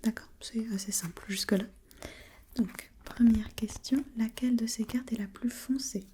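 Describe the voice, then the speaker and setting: A woman whispering breathily in an ASMR role-play as an examiner, gently asking step-by-step questions and giving short encouragements. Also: fairly high pitch, fairly even intonation, normal speed, slight background noise.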